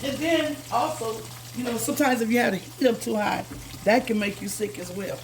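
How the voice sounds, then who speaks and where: A woman hosting a casual home cooking vlog, chatty and repetitive, her voice slightly hoarse from a recent illness. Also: on the high side, brisk, slight background noise, low-quality recording. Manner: chatty, says "guys" constantly, repeats herself. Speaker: cooking channel host. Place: home kitchen. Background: food sizzling in a pan.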